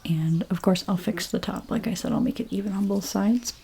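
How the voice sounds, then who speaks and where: A woman doing a soft-spoken ASMR role play as a dressmaker, gentle and unhurried, her breathy voice often slipping into a whisper. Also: medium pitch, steady tone, a little hiss or hum. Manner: gentle, unhurried, reassuring explanations to a client. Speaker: ASMR creator role-playing a dressmaker at a fitting.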